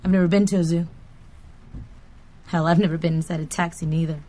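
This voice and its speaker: An actress playing a defiant, bitter young ghost passenger, brash, slangy and combative in an audio drama. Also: female voice, on the low side, fairly even intonation, brisk, mellow, natural voice. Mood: stubborn.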